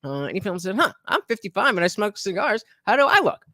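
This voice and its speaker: A man's voice: high-pitched, sing-song, quick pace, pushed and bright, no echo, clean studio sound. A man commentating on a podcast clip during a live stream, hesitant and full of fillers, with sardonic asides.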